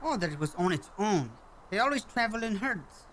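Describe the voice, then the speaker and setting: Alien village hunter-leader for sci-fi radio play, played by an actor: calm, formal, measured, stilted phrasing, medium pitch. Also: slight background noise. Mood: grave, calm.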